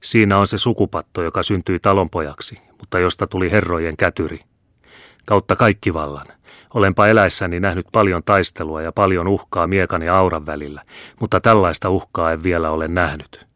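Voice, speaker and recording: A man's voice: low-pitched, steady tone, fairly bright tone, no echo, clean studio sound. A man voicing a proud, wrathful old peasant patriarch who declaims sternly and thunders in anger. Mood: thundering.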